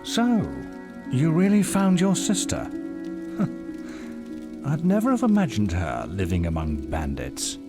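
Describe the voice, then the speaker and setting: An actor playing a worldly guild mentor in a video game, familiar and knowing, with theatrical, swooping intonation. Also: male voice, mid-range voice, big pitch swings, unhurried, pauses often. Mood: amused, impressed. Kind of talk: video game character dialogue. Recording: music behind the voice.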